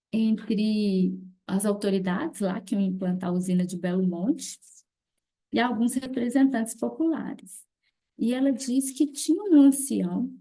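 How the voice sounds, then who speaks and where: A woman presenting her dissertation research in a reflective academic talk, often pausing with small tag words. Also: medium pitch, warm tone. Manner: reflective, many fillers like "né" and "assim".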